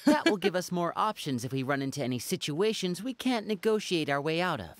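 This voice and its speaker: A young man voicing a playful stage magician in a game, smooth and teasing. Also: a little high, expressive, fairly quick, no echo, lightly acted.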